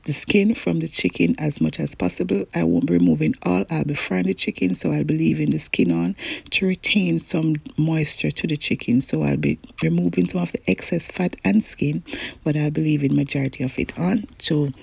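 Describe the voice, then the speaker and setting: Relaxed woman with a gravelly voice. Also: sits low, normal speed, no echo. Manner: relaxed, chatty, talks viewers through each step. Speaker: cooking channel host. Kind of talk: cook-along voice-over narration. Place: home kitchen.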